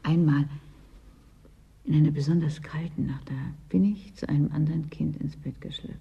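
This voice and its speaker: Wistful property owner, female, husky, creaky, querulous, brooding, radio drama. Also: deep voice, fairly even intonation, normal speed, dull audio, heavily acted. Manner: querulous, brooding, dwells on memories. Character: chilled, wistful woman property owner in a frozen city. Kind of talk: German radio play acting.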